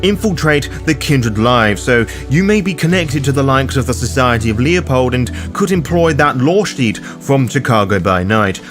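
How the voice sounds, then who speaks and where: Male guide host, scripted explainer read, animated pitch movement, cheeky and wry, music underneath. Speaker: host of a tabletop roleplaying guide.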